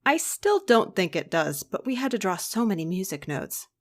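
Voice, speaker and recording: A woman's voice: mid-range voice, very animated, normal speed, no echo. A woman playing a blasé, teasing comic book editor in audio drama banter, with flippant, dry humour. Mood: weary, easygoing.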